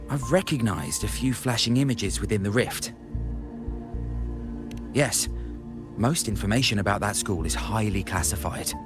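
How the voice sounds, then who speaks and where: An actor playing a businesslike field investigator in a game, speaking in a measured, matter-of-fact way. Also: male voice, fairly low pitch, close to an everyday voice. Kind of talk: game dialogue acting. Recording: music behind the voice.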